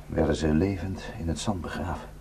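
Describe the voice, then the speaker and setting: An actor playing a haunted, guilt-ridden male defector in a Dutch radio drama, speaking in a halting, intense and bitter way. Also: low voice, fairly even intonation, creaky, dark tone. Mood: grave, intense. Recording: noisy radio play recording with hum.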